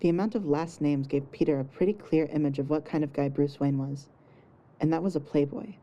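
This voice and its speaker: A woman's voice: low voice, steady tone, brisk, dark tone, dull audio. A woman reading scripted fiction narration as the storyteller of an audio story.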